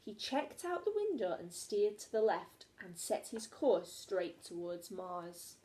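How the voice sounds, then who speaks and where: A woman's voice: mid-range voice, big pitch swings, measured pace, warm tone. A woman teacher reading a children's story aloud expressively, recorded in an echoey room.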